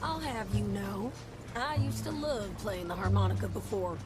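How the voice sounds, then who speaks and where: A woman's voice: mid-range voice, lively intonation, moderate pace, lightly acted. An actress playing a fierce, defiant widowed frontier woman turned outlaw in a video game, with a blunt American rural accent. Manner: blunt, feisty, combative. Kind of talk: dramatic video game acting. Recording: loud music under dialogue.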